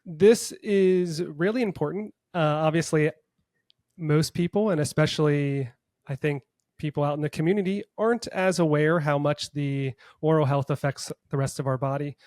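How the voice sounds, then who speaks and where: Man, fairly high voice, upbeat enthusiastic podcast host, casual chat, dry close recording. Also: moderate pace, darkish tone, dry recording. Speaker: orthodontist.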